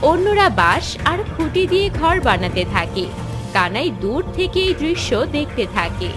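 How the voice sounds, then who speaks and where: A woman reading scripted narration for an animated fairy tale. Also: sits high, unhurried. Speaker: story narrator. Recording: music under the voice.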